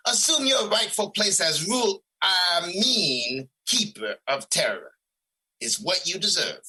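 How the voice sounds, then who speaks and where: A man playing Resentment as a personified emotion in an audio drama, scheming and manipulative, with a husky, insinuating, theatrical delivery. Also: sits high, very animated, unhurried, pushed and bright, full character voice, poor audio. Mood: seductive urging.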